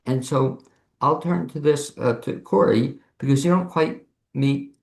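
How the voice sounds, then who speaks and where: A man's voice: medium pitch, measured pace, gravelly, a little mellow. A man hosting a conversational interview show over a video call from an echoey room. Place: home office studio, on a video call.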